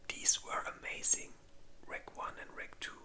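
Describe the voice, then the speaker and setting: A host speaking in a breathy, unvoiced whisper, rambling informally in a casual ASMR ranking video. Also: moderate pace.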